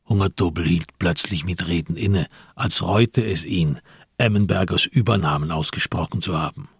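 A man narrating a radio drama from a script, in a measured literary storytelling manner. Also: sits low, gravelly, slightly rough, dry recording, clean studio sound.

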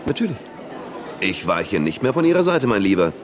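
An actor playing a flamboyant, impulsive surrealist poet in a radio play, talking theatrically and urging others on. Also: male voice, fairly low pitch, sing-song, normal speed, a crowd in the background. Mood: grandiose, excited.

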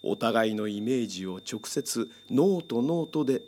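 Male, actor playing radio-drama hypnotist doctor: medium pitch, animated melody, formal, coaxing, commanding. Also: unhurried, slight background noise, close to an everyday voice. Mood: hypnotic, controlled. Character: calm, controlling male psychiatrist guiding a hypnotic experiment.

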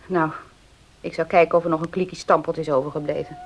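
An actress playing a stern, pious and outspoken old farm maid in a radio drama. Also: female voice, low voice, fairly even intonation, a little fast, lo-fi sound. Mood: stern, reproachful. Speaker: actor in a radio play. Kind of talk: Dutch radio drama acting.

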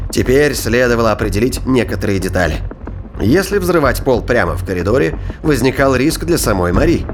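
A man's voice: mid-range voice, a little hiss or hum. A man reading scripted narration for a science-fiction thriller audio drama. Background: music.

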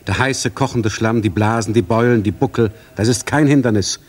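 A man's voice: fairly low pitch, normal speed. A man giving a literary narration in a radio play.

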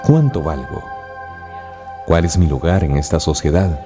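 Male narrator, very low register, solemn yet expressive documentary read, music underneath. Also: unhurried. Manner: solemn, rhetorical.

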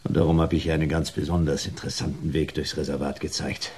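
A man playing a world-weary private detective in a noir sci-fi radio play, terse, dry and deadpan. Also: rumbling low, fast, slightly rough. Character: world-weary, laconic hard-boiled male private detective.

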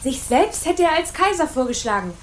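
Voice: high-pitched, very animated, moderate pace. An actor playing a cheeky young boy who puts on pompous, imperious airs in a radio play. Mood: playfully pompous. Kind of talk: German radio play acting.

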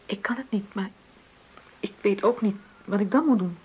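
An actress in a radio drama playing an unhappy middle-aged wife who feels trapped, speaking openly and haltingly in a husky voice. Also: female voice, mid-range voice, a little mellow, highs cut off. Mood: anguished. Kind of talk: naturalistic radio drama dialogue.

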